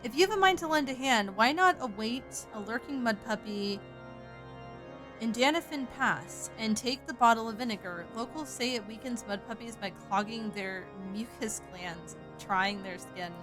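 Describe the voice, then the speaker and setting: A woman reading aloud the lines of a gruff, old-fashioned army camp cook from a game, in a near-everyday voice. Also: fairly high pitch, expressive, normal speed, music in the background, natural voice. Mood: matter-of-fact.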